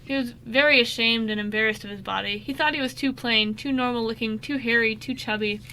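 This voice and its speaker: A woman's voice: on the high side, fairly quick, bright, forceful tone, low-quality recording. A woman narrating a scripted amateur radio drama, now and then stumbling over the script.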